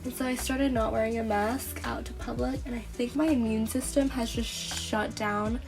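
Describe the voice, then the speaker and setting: A young woman vlogger chatting casually, a little nasal from a cold, telling stories in an animated way with lots of fillers. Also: on the high side, normal speed, vocal fry, music in the background. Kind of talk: casual vlog chat.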